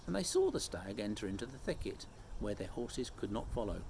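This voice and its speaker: A man reading a children's story aloud expressively, phrase by measured phrase. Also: lowish voice, big pitch swings, gravelly, darkish tone. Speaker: storybook reader. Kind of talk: expressive scripted story narration. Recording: faint background noise.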